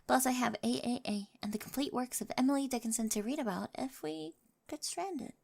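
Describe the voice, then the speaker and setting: A woman's voice: sits high, fairly quick, gravelly, darkish tone. A woman voicing an eccentric, infatuated teenage girl, rambling nervously and over-eagerly in an ASMR romance roleplay. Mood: nervous upbeat. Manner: rambling, self-undercutting, nervous confessions.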